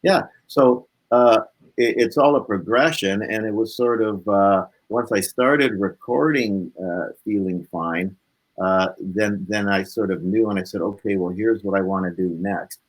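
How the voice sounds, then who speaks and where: A man's voice: lowish voice, a little slow, low-quality recording. A man speaking as a musician guest in a casual interview, reflective and earnest in manner.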